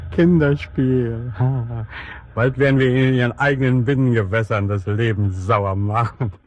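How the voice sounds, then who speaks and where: A man playing a cocky, gleeful German U-boat sailor in a radio drama, with a husky voice and gloating manner. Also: mid-range voice, a little slow, close to an everyday voice.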